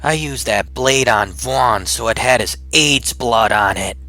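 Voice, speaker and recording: A defiant young warrior played by a man in an anime parody, speaking at a middle pitch. The lines are blunt and vengeful, with loud music playing along. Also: a little slow, lightly acted. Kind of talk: fan abridged anime dub.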